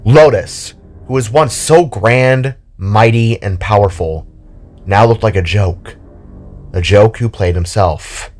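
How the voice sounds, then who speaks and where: A man reading dramatic scripted narration in a slow, theatrical, deliberate manner. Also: fairly low pitch. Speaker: narrator and voice actor of an audio drama. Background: music.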